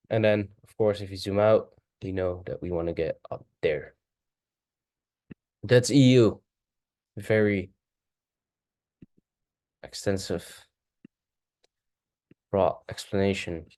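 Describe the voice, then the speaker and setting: Low-voiced Dutch man talking charts. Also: darkish tone, no echo. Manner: thinking aloud, self-correcting, halting. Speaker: forex trader. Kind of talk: casual chart walkthrough.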